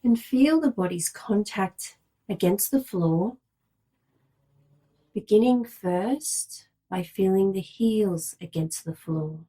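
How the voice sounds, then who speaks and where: A woman leading a guided relaxation meditation as a yoga nidra guide, giving calm, measured, soothing instructions with long pauses. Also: medium pitch, poor audio.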